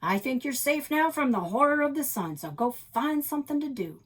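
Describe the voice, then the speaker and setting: A woman's voice: sits high, lively intonation, normal speed, voice pushed up. A woman voicing a wry, grumbling older grandfather with a folksy, joking manner, in a children's book read-aloud. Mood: dismissive.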